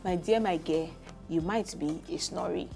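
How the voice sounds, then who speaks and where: A woman's voice: on the low side, normal speed, gravelly, warm tone, soft background music. Teasing Nigerian woman TV host. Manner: playful, teasing, laughs often. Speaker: talk show host. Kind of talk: casual health talk show. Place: TV studio.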